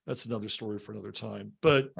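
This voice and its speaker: A man's voice: on the low side, fairly even intonation, fast, vocal fry, husky. A man hosting a podcast, telling personal stories conversationally and checking in with short tag questions. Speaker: podcast host.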